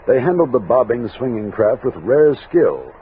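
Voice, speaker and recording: A man's voice: mid-range voice, big pitch swings, normal speed, slight background noise, close to an everyday voice. An American man playing a commanding frontier expedition captain who narrates his journey in a 1960s radio drama. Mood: measured, recounting. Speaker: lead actor and narrator. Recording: narrow-band old radio recording, music behind.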